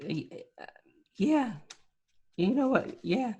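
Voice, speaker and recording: High-pitched, exasperated, sassy woman, acted by a woman. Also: lively intonation, slow, mellow, slightly rough, dull audio, full character voice. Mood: dismissive. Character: Lois, exasperated, defiant female accomplice. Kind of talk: amateur online table read of a film script.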